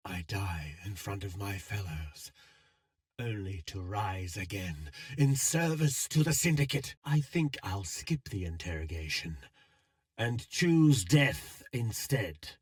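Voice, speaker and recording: An actor playing a calculating, world-weary male schemer in a video game, speaking in measured, eloquent, cryptic phrases. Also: mid-range voice, dry recording, clean studio sound. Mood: grim resignation. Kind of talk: scripted game dialogue, theatrical.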